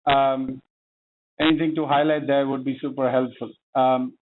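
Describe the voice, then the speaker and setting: A man calling in as a financial analyst, politely asking a list of questions with frequent pauses. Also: medium pitch, little pitch movement. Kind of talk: asking questions on an earnings call. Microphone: phone. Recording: phone line with faint noise.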